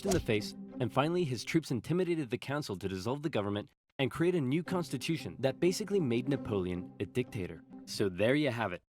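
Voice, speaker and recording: A man's voice: mid-range voice, very animated, fast, few pauses, music in the background. A man narrating comedic scripted history with a wry, punchy delivery.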